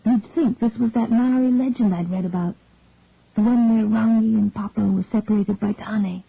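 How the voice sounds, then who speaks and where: A woman voicing a gentle young mother who speaks tenderly and soothingly, with a husky edge and a tinny phone-like sound. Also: medium pitch, steady tone, moderate pace, no echo. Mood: wry.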